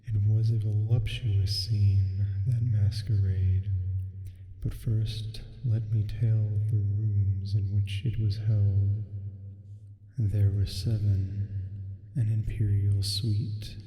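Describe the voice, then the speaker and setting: A very mellow, shadowy, low-pitched demon acted by a man reads a gothic tale as hushed ASMR roleplay. The delivery is measured and sinister, barely rising or falling. The voice sits in heavy, echoing reverb. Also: flat delivery. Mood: hushed, ominous. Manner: hushed, measured, theatrical reading. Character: sleep paralysis demon reading a gothic tale, slow and sinister. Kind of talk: ASMR roleplay, storybook narration. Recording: long cavernous reverb, echoing. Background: faint music.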